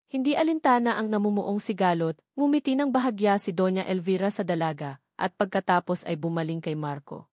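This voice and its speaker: A woman reading a dramatic story in measured, scripted narration. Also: mid-range voice, a little mellow, no room sound, studio quality.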